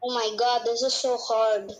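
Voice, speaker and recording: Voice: mid-range voice, level tone, moderate pace, rough recording. A podcast co-host chatting casually in a guessing game, hesitant and repeating short phrases.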